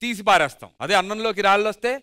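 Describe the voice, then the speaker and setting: High, fast-talking male show host. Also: heavy background noise. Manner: hypes the stage, mixes English and Telugu. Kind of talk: energetic TV show hosting.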